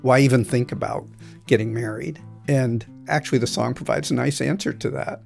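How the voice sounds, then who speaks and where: A man with a gravelly, creaky texture recounts his memories in an even, thoughtful way. Faint guitar music plays under his voice. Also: medium pitch. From United States. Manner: reflective, measured. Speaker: interviewee. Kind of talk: personal storytelling.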